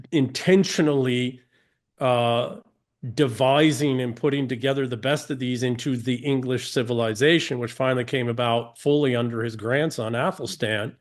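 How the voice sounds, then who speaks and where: A man's voice: medium pitch, fairly even intonation, moderate pace. A man from the United States, a guest on an interview podcast, speaking emphatically, asking rhetorical questions and often restarting sentences.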